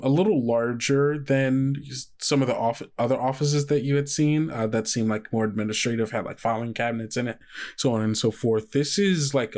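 A man acting as game master, improvising descriptions in a conversational, halting way. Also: mid-range voice. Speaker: game master of an actual play podcast. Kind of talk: improvised tabletop game narration.

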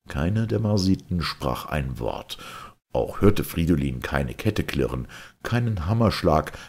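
A man with an extremely deep, husky voice reads a literary story as an audiobook narrator. His delivery is deliberate and dramatic, with lively melodic swings.

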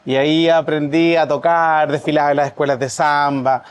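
A Chilean man, a musician guest on a podcast, chatting in a lively, slangy way and laughing often. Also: fairly high pitch, normal speed, clear, resonant tone. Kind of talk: casual chat.

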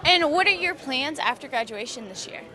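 Bright, high-voiced young woman interviewer. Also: expressive, moderate pace, some chatter behind. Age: 20s. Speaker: student interviewer. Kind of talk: prepared interview questions. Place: large glass-walled university hall or lobby. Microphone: handheld interview microphone at arm's length.

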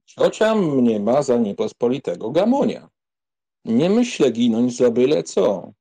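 Man playing a cocky young count, animated intonation, mellow husky voice, mocking, teasing, audiobook drama. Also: medium pitch, a little slow, full character voice. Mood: cocky, cajoling. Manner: mocking, amused, mock-solemn.

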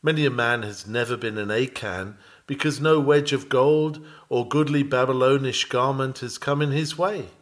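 A man reading an old Victorian sermon aloud in an earnest, measured, preacherly manner. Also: medium pitch, fairly bright tone. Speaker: sermon reader.

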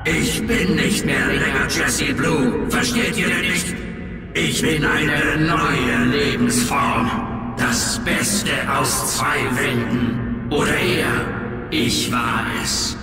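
Villain: megalomaniac half-machine, taunting, slow and theatrical, booming in deep reverb. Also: male voice, full character voice. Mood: bitter, grandiose. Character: megalomaniac male villain fused with a machine, mocking, desperate. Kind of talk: German audio drama acting. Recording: cavernous echo, distant, loud music behind.

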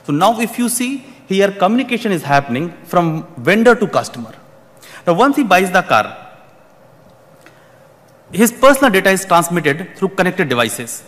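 A man's voice: high-pitched, sing-song, moderate pace, a bit bright. A man in his thirties giving a prepared conference keynote from a podium, speaking formally and often repeating words as he restarts phrases. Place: large conference hall, at a podium. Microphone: podium microphone. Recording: long hall reverb.

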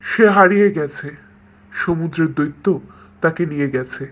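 A heartbroken young prince from a cartoon fairy tale, played by a man. His voice sits slightly high for a male and carries earnest, devoted sorrow. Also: a little slow, a bit bright. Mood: grief-stricken.

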